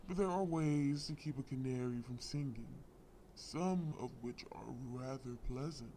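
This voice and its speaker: An actor playing a smug, lovesick crime lord in an audio roleplay, drawling and long-winded with polite menace. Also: male voice, medium pitch, measured pace, warm tone, a little hiss or hum, lightly acted. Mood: smug, menacing. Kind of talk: scripted audio roleplay acting.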